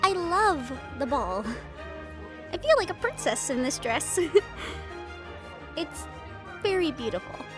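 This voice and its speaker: Woman acting high, lilting, affectionate young fiancée. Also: slow, long pauses, music in the background, heavily acted. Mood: wistful, affectionate. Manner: affectionate, expressive, chatty. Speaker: audio roleplay voice performer. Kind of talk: intimate scripted audio roleplay addressed to the listener.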